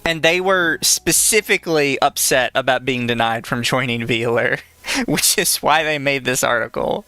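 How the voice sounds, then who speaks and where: A man's voice: mid-range voice, normal speed, bright, forceful tone, clear, resonant tone. A man co-hosting a casual podcast, talking bluntly and sarcastically and swearing freely. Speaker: podcast co-host.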